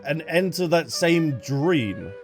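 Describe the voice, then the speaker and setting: Casting: male streamer, slightly high voice, informal playthrough chatter, joking and reactive. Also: expressive, slow pace. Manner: playful, jokey, reacts out loud. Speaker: game streamer. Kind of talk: casual playthrough commentary. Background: game music.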